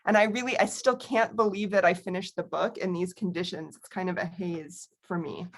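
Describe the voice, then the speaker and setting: A woman's voice: fairly low pitch, steady tone, moderate pace, a little hiss or hum. A middle-aged American woman, an academic author, giving a prepared talk on a video call with candid, conversational asides.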